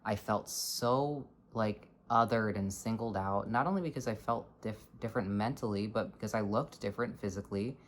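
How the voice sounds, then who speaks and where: A young adult in their twenties speaks in a low voice at an ordinary, mid-range pitch. They talk earnestly and haltingly, pausing to search for words as they reflect aloud. A faint hiss sits beneath the voice. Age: 20s. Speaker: vlogger. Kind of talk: personal reflective monologue.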